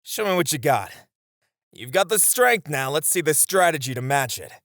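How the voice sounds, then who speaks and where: Casting: male actor for a confident knight and playful sparring partner in an ASMR roleplay, animated pitch swings, close dry recording. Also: normal speed, dry recording. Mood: spirited, challenging. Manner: playful teasing with frequent chuckles. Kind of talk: ASMR romance audio roleplay, one-sided dialogue.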